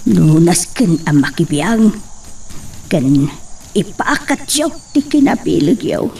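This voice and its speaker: A woman's voice: on the low side, very animated, slow pace, creaky, dark tone, distant mic, slight background noise, full character voice. A woman playing a worried, pious mother in a radio drama, pleading and lamenting. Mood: anxious, prayerful.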